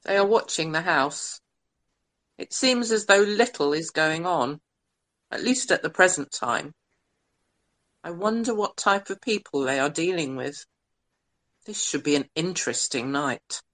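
Low female voice, woman acting calculating unknown hacker, measured, formal, scheming, sci-fi podcast drama. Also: expressive, a bit bright. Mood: calculating, watchful. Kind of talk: scripted audio drama narration.

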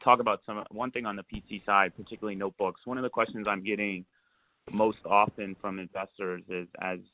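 A man with a low voice and little pitch movement asks questions as an analyst. He speaks haltingly, restarting phrases, over a tinny phone line. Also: level tone. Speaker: financial analyst asking questions. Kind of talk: unscripted earnings call question.

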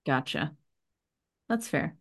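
A woman in her thirties hosting a podcast, talking in a relaxed, casual way with lots of ums. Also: deep voice, takes it slowly, gravelly. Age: 30s. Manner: relaxed, hesitant, many ums. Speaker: podcast host. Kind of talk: casual chat.

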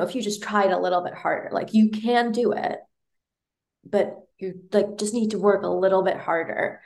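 A young woman from New York, a podcast guest telling her personal story in a bright, giggly way. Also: mid-range voice. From United States, New York.

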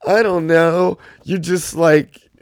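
A man's voice: a little high, fairly even intonation, takes it slowly, no echo. A man in his twenties hosting a solo podcast, talking casually and rambling with lots of fillers. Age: 20s. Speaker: podcast host.

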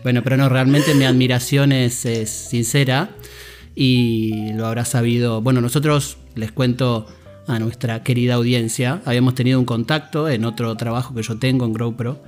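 A man's voice: medium pitch, steady tone, normal speed, a little mellow, soft background music. A man with an Argentine accent hosting a podcast, talking in a friendly, rambling way with many fillers and restarts. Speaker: podcast host. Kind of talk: casual interview chat.

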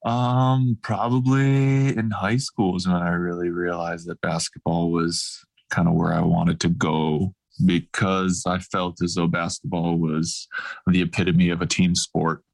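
Male athlete guest, 30s, easygoing and reflective; deep voice with plenty of vocal fry. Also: unhurried. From grew up across Asia, mostly Taiwan. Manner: relaxed, easygoing, thoughtful. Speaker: guest, professional basketball player. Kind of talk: casual interview answers.

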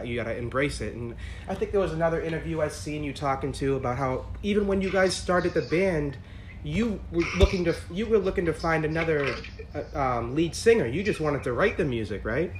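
A man hosting an interview with a higher pitched voice, asking eager, rambling questions. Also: expressive, fairly quick, slight background noise. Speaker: interview host. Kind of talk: casual interview conversation.

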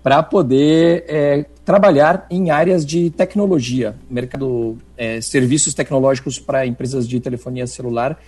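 A man's voice: mid-range voice, normal speed, hardly any pauses, a little mellow, husky. A man from southern Brazil with a Rio Grande do Sul accent, a guest in a radio interview, speaking in a friendly way and addressing the host by name. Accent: Rio Grande do Sul Portuguese. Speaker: guest, tech executive and wine student.

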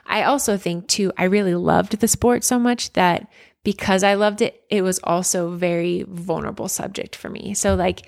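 A woman's voice: lowish voice, steady tone, normal speed, creaky. A woman in her forties from near Philadelphia, a former basketball player speaking as an interview guest. She talks in an earnest, candid way, full of fillers and restarts. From United States, near Philadelphia. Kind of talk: reflective conversational interview.

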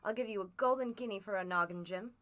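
A woman voicing a sick old sea captain who talks in rough sailor slang, feeble but hearty, in an audiobook. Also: mid-range voice, fast, fairly bright tone, natural voice. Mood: desperate. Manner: rough seafaring slang, cursing. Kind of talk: audiobook character voice.

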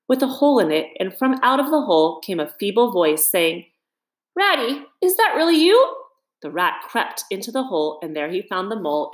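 A woman reading a children's story aloud as expressive scripted narration. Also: fairly low pitch. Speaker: storybook reader. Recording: roomy, echoing sound.

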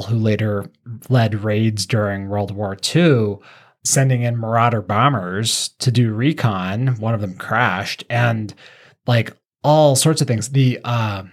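A podcast co-host talking conversationally, explaining and recounting like a storyteller. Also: mid-range voice.

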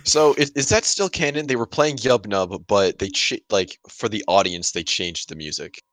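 A man's voice: lowish voice, normal speed, fairly bright tone, no room sound. A man co-hosting a casual panel chat, giving opinions in a rambling way peppered with "like". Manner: rambling, heavy use of "like". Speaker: podcast co-host.

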